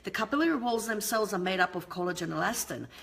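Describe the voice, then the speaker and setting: A woman's voice: medium pitch, expressive, fast talker, creaky, a bit bright. A woman in her forties, a beauty therapist, chatting through a live skincare lesson from home on her webcam, explaining with many ums and restarts. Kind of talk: live-stream skincare talk. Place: home room with lamp and shelf. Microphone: webcam at desk.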